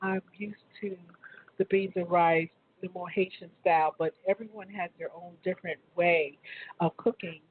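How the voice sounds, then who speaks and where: A woman's voice: fairly low pitch, fairly even intonation, moderate pace. A woman hosting an interview, asking casual questions with frequent hesitant restarts. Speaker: show host interviewing a business owner. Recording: tinny phone-like line with faint hiss.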